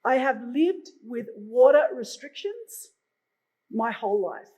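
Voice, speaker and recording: High-voiced Australian woman moderator. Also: expressive, slow, mellow, slightly rough, rough recording. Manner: chatty, frequent "uh" fillers. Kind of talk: conference stage introduction. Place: conference stage.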